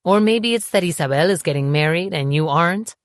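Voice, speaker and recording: A calm, self-assured man from an audiobook romance, voiced by a woman narrator. He talks down to his listener with smooth condescension. The pitch is low and the sound dry. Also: fairly quick, dry recording, lightly acted. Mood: patronizing concern. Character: calm, confident, patronizing adult man, an ex-boyfriend.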